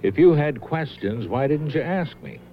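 An actor playing a composed, politely evasive clothes designer in a radio drama. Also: male voice, fairly high pitch, lively intonation, moderate pace, lightly acted. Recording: dull old radio recording, faint noise.

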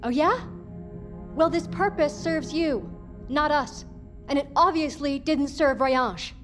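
An actress playing an outraged, defiant crew member in an audio drama, confrontational, sarcastic and emphatic. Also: female voice, sits high, slow, over music. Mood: angry, defiant.

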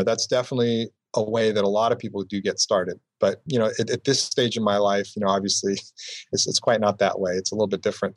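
Low-voiced man, talking reflectively in a dry room. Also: no room sound. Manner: reflective, repeats "you know" often, restarts phrases. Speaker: entrepreneur guest. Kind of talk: casual interview answers.

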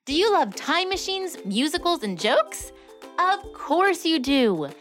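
A woman's voice: very high-pitched, sing-song, slow pace, a bit bright, faint music behind. A woman announcer reading a scripted podcast advertisement with exuberant salesmanship.

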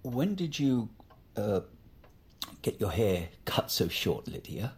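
An actor playing an awkward, disapproving middle-aged British father, speaking haltingly and stiffly in an audio drama. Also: fairly low pitch, very animated, vocal fry, a little mellow, a little hiss or hum, full character voice. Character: awkward, disapproving older father of grown daughter.

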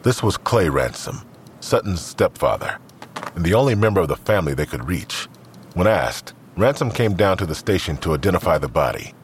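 A man's voice: low-pitched, big pitch swings, a little fast, a little hiss or hum. A man narrating a scripted crime audio drama in the role of storyteller.

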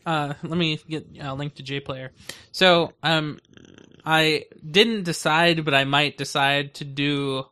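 A young man hosts a casual tech podcast. His voice is fairly high for a man and very bright and forward. He talks informally, as in a loose chat with a friend. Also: steady tone, takes it slowly, clean tone. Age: young adult. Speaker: podcast host.

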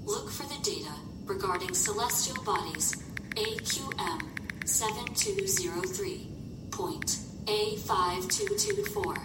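A woman playing a polite, literal shipboard artificial intelligence in a science fiction audio drama, speaking formally and without emotion. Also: mid-range voice. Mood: methodical. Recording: roomy echo, distant, heavy noisy ambience.

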